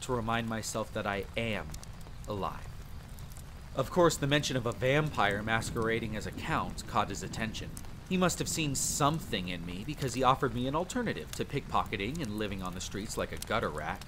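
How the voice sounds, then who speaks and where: A man's voice: fairly low pitch, brisk. A man playing a young, brash but good-natured vampire hunter and former street thief, telling his story informally and theatrically in an audio roleplay. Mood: reflective, grave. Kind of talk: dramatic campfire storytelling roleplay. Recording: steady rain and fire ambience noise. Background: rain, rolling thunder, campfire ambience.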